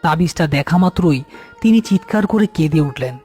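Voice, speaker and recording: A man's voice: on the high side, lively intonation, brisk, darkish tone. A man narrating a scripted ghost story, with music behind him.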